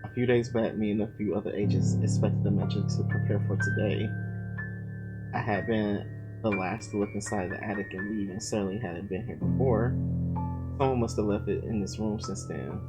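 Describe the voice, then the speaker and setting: A man reading a horror visual novel's text aloud, breaking off to swear and react loudly between lines. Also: on the low side, steady tone, normal speed, rough recording. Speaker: gamer playing a visual novel. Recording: loud game music behind.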